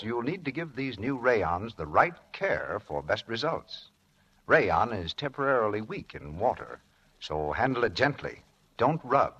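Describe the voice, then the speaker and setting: A man's voice: mid-range voice, fairly bright tone, breathy edge. A man reading a scripted 1940s radio commercial as announcer, with a polished, instructive sales delivery. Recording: dull, muffled old radio broadcast.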